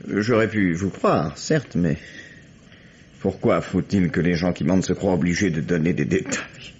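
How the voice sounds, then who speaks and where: An actor playing a dry, patient police commissioner in a French radio mystery, questioning in a measured, probing way. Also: male voice, sits low, a little mellow. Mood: insistent. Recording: muffled, noisy old radio recording.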